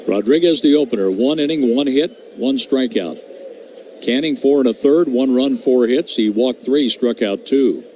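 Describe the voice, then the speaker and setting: Brisk male radio play-by-play announcer. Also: warm tone, slight background noise, poor audio. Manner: crisp, rattles off stats and calls each pitch.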